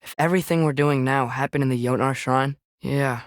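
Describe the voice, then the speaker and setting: A man's voice: medium pitch, steady tone, brisk, dry recording, natural voice. Earnest teenage boy adventurer, acted by a man, dry close recording. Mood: worried, questioning. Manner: casual, curious, wry asides. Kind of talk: naturalistic video game dialogue.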